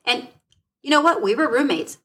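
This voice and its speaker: A woman's voice: high voice, animated, moderate pace, sharp tone. A woman co-hosting a casual podcast, chatty and laughing often, restarting her sentences as she thinks aloud. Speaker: podcast co-host.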